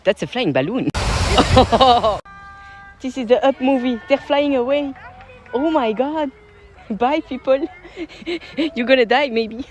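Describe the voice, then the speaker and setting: A woman giving excited, exclamation-filled comic commentary for a short video. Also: on the high side. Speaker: comedy video creator.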